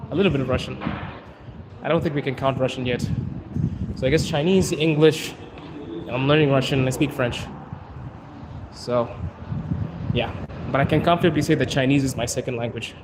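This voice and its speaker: A man from Zimbabwe chatting casually on a livestream, answering viewers' questions in a relaxed way. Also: mid-range voice, normal speed, pauses often. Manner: relaxed, chatty, says bro and dude. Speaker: vlogger answering live chat. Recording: street noise with passing cars.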